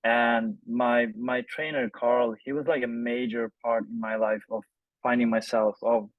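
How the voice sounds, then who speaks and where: Interview guest: male, thirties, mid-pitched, informal halting storyteller, slightly dull close recording. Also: level tone, dry recording. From Sweden. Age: 30s. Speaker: interview guest, film director. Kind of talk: casual personal storytelling in an interview.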